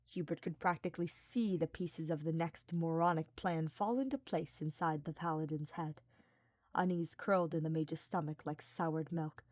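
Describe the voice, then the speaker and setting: Low-pitched woman narrating fiction, very dry sound. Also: moderate pace, darkish tone, dry recording. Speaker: podfic reader. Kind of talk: scripted narration of a fantasy story.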